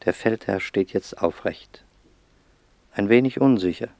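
An actor as the sober, detached narrator of a radio play, reading literary text in a measured, grave manner. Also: male voice, lowish voice, creaky, a little mellow, no room sound.

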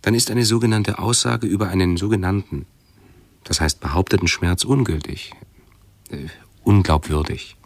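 A man's voice: sits low, moderate pace, no room sound. An actor playing a pedantic, hesitant male hypochondriac intellectual who keeps correcting himself in a radio play. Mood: pedantic, uneasy.

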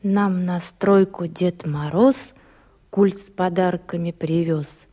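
An actress playing a proud, reserved young woman in a radio play, speaking in a quiet, measured, guarded way. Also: lowish voice, steady tone. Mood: measured reciting.

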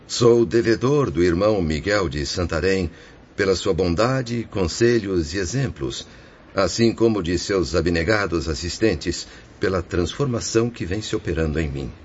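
An actor playing a remorseful, resigned spirit of a ruined merchant in a solemn, declamatory religious radio drama. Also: male voice, low voice, expressive, moderate pace, breathy edge. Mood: grateful, earnest. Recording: dull lo-fi audio with faint noise.

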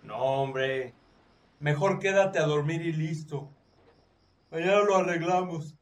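A man's voice: a little high, takes it slowly. An actor with a Mexican accent playing a hospitable, easygoing middle-aged doctor and family man in a radio drama. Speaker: supporting actor. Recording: distant from microphone, music underneath.

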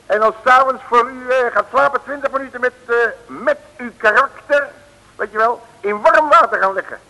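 An actor playing a folksy, street-smart detective who blusters, stammers and repeats himself in an old radio comedy serial. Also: male voice, very high voice, moderate pace, sharp tone, exaggerated voice. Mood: jovial. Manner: stammering, repeating words, colloquial. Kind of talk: 1950s-60s Dutch radio comedy serial acting. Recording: old radio recording, hollow reverberation.

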